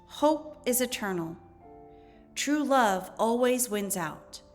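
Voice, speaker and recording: Female teacher, fairly high voice, measured instructional read-aloud with music behind. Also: animated. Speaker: teacher reading lesson aloud. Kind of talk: educational read-aloud narration.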